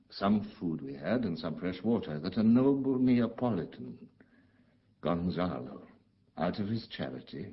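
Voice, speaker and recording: Deep, grave, embittered exiled duke. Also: male voice, muffled recording. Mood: grateful, hushed. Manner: theatrical, grave, declamatory, with dramatic swells and hushes. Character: embittered, commanding exiled duke, father recounting betrayal. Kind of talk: classical Shakespearean stage acting.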